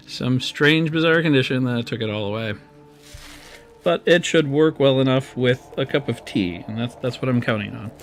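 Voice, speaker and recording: A man's voice: mid-range voice, normal speed. Man with a weak, strained voice. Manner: chatty and rambling, with many fillers and restarts. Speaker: streamer and game host. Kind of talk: casual live-stream commentary while playing. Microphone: desk microphone on a boom arm.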